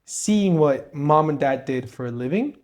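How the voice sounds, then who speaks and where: A man's voice: a little high, expressive, unhurried, a little mellow. A young man from Peru, co-host of a podcast, telling his story and giving advice in an earnest, motivational way. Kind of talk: conversational interview.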